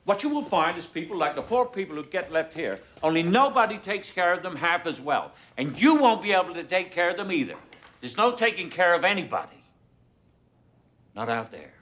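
A man's voice: sits high, very animated, a little fast, fairly bright tone. An actor playing a stern man who harshly lectures a younger one in a film scene. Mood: scolding. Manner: harsh, scolding. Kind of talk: film drama acting.

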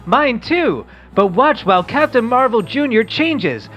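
An adult playing an excitable, wholesome boy who hosts a radio quiz, read in the manner of an old comic-book radio play. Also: mid-range voice, measured pace, soft background music. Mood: eager.

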